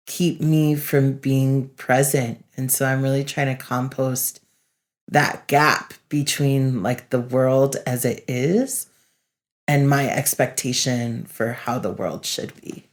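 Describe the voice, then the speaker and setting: Low-voiced earnest woman reflecting. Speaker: podcast co-host, facilitator. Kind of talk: casual podcast chat.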